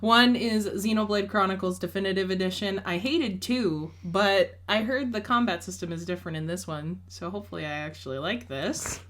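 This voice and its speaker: A woman's voice: mid-range voice, moderate pace, hardly any pauses, fairly bright tone, slight background noise. A young woman co-hosting a casual video chat about games, upbeat and playful, laughing often. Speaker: co-host.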